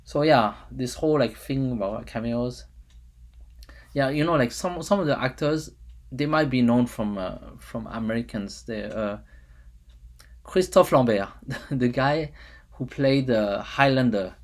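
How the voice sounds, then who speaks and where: A young man from France talks in a French accent, reviewing a show for his vlog. He rambles, filling gaps with "uh" and restarting his sentences, chuckling now and then. His voice sits at a middling pitch. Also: unhurried. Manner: rambling, many fillers and restarts, chuckles often. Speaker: vlogger.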